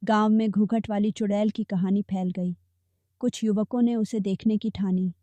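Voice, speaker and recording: Mellow woman narrating a spooky story. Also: mid-range voice, no echo. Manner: steady, measured storytelling. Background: faint background music.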